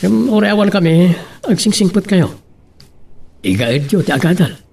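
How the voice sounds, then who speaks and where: A man acting an earnest higher-voiced father. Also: very animated, moderate pace, creaky, a little mellow, slightly rough, heavily acted. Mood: firm, fatherly. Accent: Ilocano. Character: earnest grown son and dutiful father. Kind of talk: Ilocano audio drama acting.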